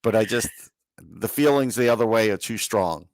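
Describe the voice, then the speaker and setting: A man's voice: on the low side, lively intonation, normal speed, dry recording, low-quality recording. A man co-hosting a sports podcast, talking through analysis in a conversational way.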